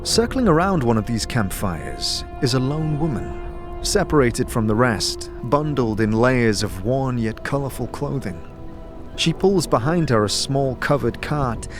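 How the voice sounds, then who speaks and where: A man narrating a scripted fantasy audio drama in the second person, with measured, descriptive storytelling. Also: mid-range voice, expressive. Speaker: narrator and game master. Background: music.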